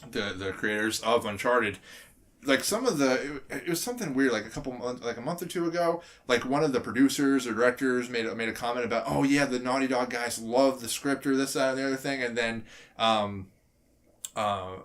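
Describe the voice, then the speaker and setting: A man's voice: mid-range voice, brisk, fairly bright tone. A man hosting a podcast, talking casually in a group discussion about entertainment. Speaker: podcast host.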